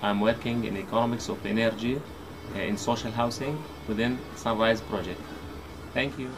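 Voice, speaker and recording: Man from Palestine giving a flat self-introduction, noise behind. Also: fairly low pitch, moderate pace. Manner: even, with fillers. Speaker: researcher.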